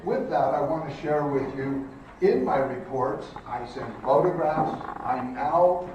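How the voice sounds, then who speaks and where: A man's voice: medium pitch, animated, unhurried, dull audio, noisy background. Earnest older man, far and echoey. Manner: earnest, personal, pleading. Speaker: home inspector speaker. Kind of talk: conference presentation. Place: hotel conference room, indoors. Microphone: far camera across the room. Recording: echoey room from far camera.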